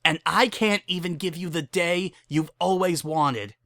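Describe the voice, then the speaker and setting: A woman's voice: on the low side, measured pace, fairly bright tone, dry recording, clean studio sound, pitched above the performer's own voice. A woman voicing an angry, heartbroken young female pony in a dramatic story reading, impassioned and pleading. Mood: distraught, yelling.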